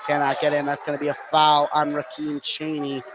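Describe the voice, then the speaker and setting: A man doing live play-by-play basketball commentary for radio, getting excitable on big plays. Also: fairly high pitch, a little fast. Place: indoor gymnasium during a game. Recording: noisy live gym broadcast.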